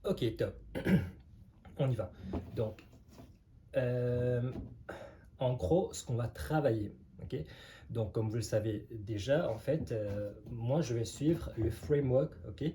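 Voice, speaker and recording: A man's voice: mid-range voice, slow, pauses often, a little hiss or hum. A man teaching a casual online language lesson, hesitant and conversational, with many filler sounds. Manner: relaxed, conversational explaining. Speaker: French teacher.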